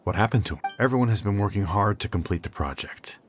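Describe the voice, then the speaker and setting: An actor playing a cool, condescending student researcher in a video game. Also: male voice, on the low side, lively intonation, fast talker, faint music behind, natural voice. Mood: reproachful. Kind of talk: English video game voice acting.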